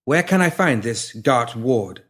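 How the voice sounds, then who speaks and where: A slight, deadly woman as a male narrator plays her speaks in a flat, incurious tone. She is cool, dismissive and quietly menacing, an audiobook assassin who never gets flustered. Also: mid-range voice, a little slow, breathy edge. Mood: deadpan, probing.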